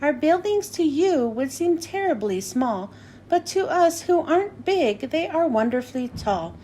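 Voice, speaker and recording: A woman's voice: high voice, big pitch swings, a little slow, warm tone, slight background noise. A woman voicing a tiny, polite storybook mayor, piping, courteous and grateful. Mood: proud, thankful.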